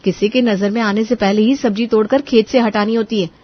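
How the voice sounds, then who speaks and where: A woman's voice: on the high side, fairly even intonation, a little fast, muffled recording, lightly acted. Woman acting a clever, burdened young daughter-in-law. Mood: coaxing. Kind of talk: Hindi moral story cartoon acting.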